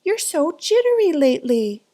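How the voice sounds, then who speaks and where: A woman's voice: high voice, sing-song, normal speed, warm tone, voice pushed up. A woman voicing a concerned, questioning mother rabbit in a children's storybook.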